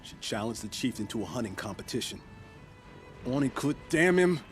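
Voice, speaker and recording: Actor as a hot-headed young hunter, medium-pitched. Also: male voice, sing-song, brisk, natural voice. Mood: bitter, angry. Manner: emphatic, outspoken. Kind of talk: video game cutscene acting. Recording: music behind the voice.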